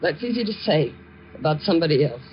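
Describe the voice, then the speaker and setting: A woman's voice: low-pitched, very animated, fast. A distraught young woman in a 1950s radio drama, guarded and tense. Her delivery halts and pleads. The recording is muffled and a little noisy, like an aged broadcast. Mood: wary, subdued.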